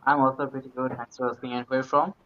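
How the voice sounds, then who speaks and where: A man from India speaks over a video call. His audio comes through dull and lo-fi. He talks in halting bursts with loose grammar, eager to make his point. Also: medium pitch, little pitch movement. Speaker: English practice partner. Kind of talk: casual chat in English practice.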